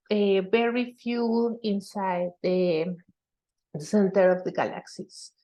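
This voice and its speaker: Slow-paced, mellow woman presenting research. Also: medium pitch. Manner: halting, explanatory. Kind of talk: scientific talk.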